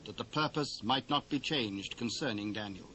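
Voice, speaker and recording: A British man narrating scripture from a script in a formal, measured storytelling manner. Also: medium pitch, pushed and bright. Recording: old cassette with faint hiss.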